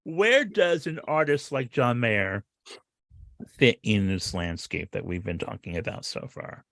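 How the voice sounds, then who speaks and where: A man co-hosting a podcast chat, his mid-pitched voice quick to tease and joke. The sound is dry and close. He jumps from questions to banter. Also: creaky, no room sound. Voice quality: higher voice. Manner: jokey, teasing, animated questions. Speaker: podcast co-host.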